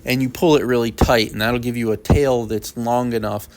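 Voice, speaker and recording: A man's voice: medium pitch, steady tone, normal speed, darkish tone. Matter-of-fact man reviewing a product. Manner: plain, matter-of-fact step-by-step explaining. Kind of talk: explanatory unboxing review narration.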